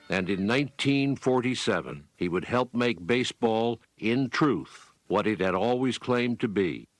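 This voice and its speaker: A man reading scripted documentary narration in a measured, solemn storytelling manner. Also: lowish voice. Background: instrumental music, plucked strings.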